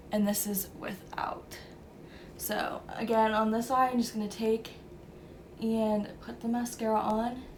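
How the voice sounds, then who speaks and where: A woman's voice: a little high, a little hiss or hum. A young woman with a stuffy nose from a cold, talking through a makeup tutorial step by step in an easygoing way. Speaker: beauty vlogger.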